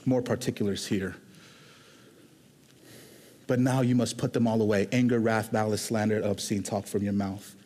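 A man from Houston, Texas, preaching a sermon as a pastor in a conversational, animated way that moves between quick asides and slow, emphatic lines. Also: lowish voice. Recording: long hall reverb.

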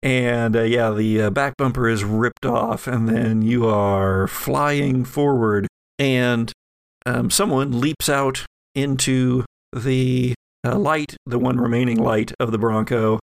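A man runs a tabletop horror game in a creaky, somewhat low voice. He improvises scenes vividly, feeling his way through them haltingly. The sound is close and dry. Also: steady tone, no room sound. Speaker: game master and podcast host.

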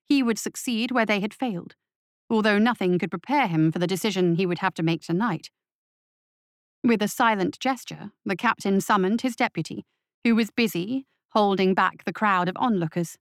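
A woman narrates fiction in a dramatic, scripted audiobook style. Her voice sits at a medium pitch, and the sound is close and dry with no room around it. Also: normal speed, no room sound.

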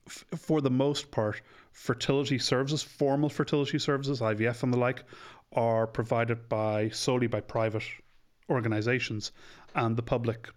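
A man's voice: medium pitch, fairly even intonation, normal speed. A man in his forties with an Irish accent, a doctor chatting openly as a podcast guest and thinking aloud as he goes. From Ireland. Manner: chatty, self-deprecating, thinks aloud with restarts. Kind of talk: casual podcast interview.

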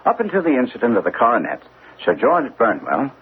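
An actor playing a shrewd, self-assured gentleman detective in an old-time radio drama, precise, probing and courteously commanding. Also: medium pitch, wildly swooping pitch, a little fast, phone-quality sound. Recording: thin, band-limited old radio recording, faint noise.

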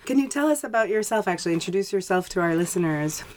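A woman's voice: medium pitch, animated, fast, slight background noise. A woman speaking as a podcast host, presenting to listeners and interviewing a guest. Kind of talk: scripted podcast introduction and sponsor reads, then interview questions.

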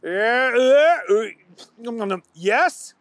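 A man's voice: very high-pitched, lively intonation, slow pace, a bit bright, dry recording, clean studio sound, voice pushed up, heavily acted. A man voicing a dopey, greedy young man who loves candy and talks in a hesitant way. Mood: sheepish.

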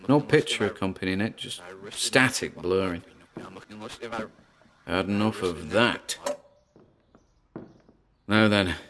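A man's voice: low-pitched, takes it slowly, long pauses, fairly bright tone. An actor playing a frightened paranormal investigator who narrates his exploration aloud in an audio drama. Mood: uneasy, observant. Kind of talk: audio drama acting, found-recording monologue.

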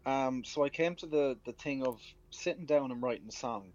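A man's voice: mid-range voice, moderate pace, darkish tone. A man with an Irish accent talking earnestly and conversationally as a musician guest on a radio phone interview. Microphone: telephone. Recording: muffled phone line.

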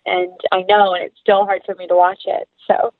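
Female interview guest, conversational and animated, mid-range voice, dry phone-like audio. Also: mid-range voice, moderate pace, dry recording.

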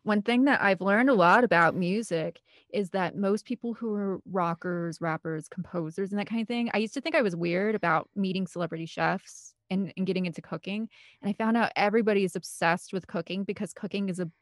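A woman's voice: mid-range voice, fairly quick, dry recording, studio quality. A woman guest on a podcast, chatting casually in an enthusiastic, rambling way. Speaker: podcast guest, film composer. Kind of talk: casual chat.

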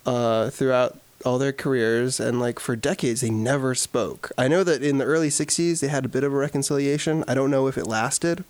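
Young male co-host, mid-pitched, dry close sound, trivia-heavy chat. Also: no echo. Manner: informative, quick with film trivia. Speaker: podcast co-host. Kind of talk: casual movie chat.

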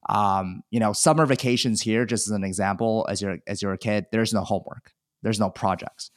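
A man's voice: fairly low pitch, brisk, no echo, studio quality. A man in his thirties from China, telling his life story candidly as a podcast guest, self-deprecating and chatty. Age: 30s. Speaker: guest, e-commerce seller. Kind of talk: casual podcast interview.

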